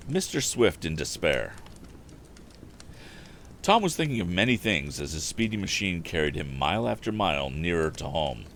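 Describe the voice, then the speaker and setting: A man's voice: deep voice, fairly bright tone. A man hosting a storytime livestream, reading and chatting casually into a microphone. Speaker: livestream storytime host, reader. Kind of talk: animated book reading with casual asides. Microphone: streaming microphone. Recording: faint background noise on livestream.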